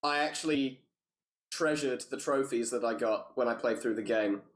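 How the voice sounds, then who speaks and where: A man's voice: medium pitch, normal speed. A man chatting casually as a podcast panelist about games, giving opinions with some swearing. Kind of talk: casual gaming chat.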